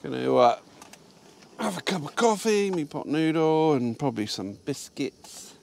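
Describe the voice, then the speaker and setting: A man's voice: a little high, expressive, a little slow, creaky, a little mellow, slight background noise. A man chatting casually about his day while parked in his truck cab, rambling with fillers and restarts. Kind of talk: casual vlog chat.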